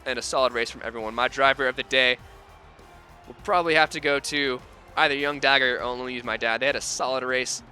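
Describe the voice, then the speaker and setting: Male sim racing host, bright tone, strained sore voice, faint music bed under him. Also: mid-range voice, fast talker. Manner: energetic, upbeat, folksy. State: voice sore, losing his voice. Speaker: race commentator and host.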